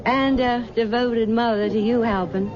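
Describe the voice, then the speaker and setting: A woman's voice: fairly high pitch, steady tone, normal speed, natural voice. An actress playing a doting, coquettish, possessive middle-aged mother who speaks in a honeyed, cooing, theatrical way in a radio drama. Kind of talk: 1970s radio drama acting. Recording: dull 1970s radio recording with music.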